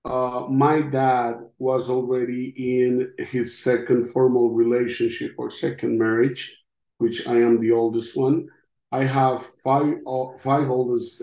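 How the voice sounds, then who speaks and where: A middle-aged man from Costa Rica with a Costa Rican Spanish accent gives personal testimony in an interview, speaking in a halting, reflective way. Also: medium pitch, warm tone. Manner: halting, reflective, with long pauses. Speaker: interview guest, podcast host. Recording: roomy, echoing sound.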